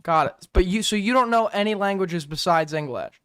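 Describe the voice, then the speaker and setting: A man's voice: sits high, animated, moderate pace, fairly bright tone, clean tone, dry recording, clean studio sound. A man hosting a stream debate, pressing his points hard, repeating words and talking over the other speaker. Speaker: streamer host. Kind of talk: heated casual debate.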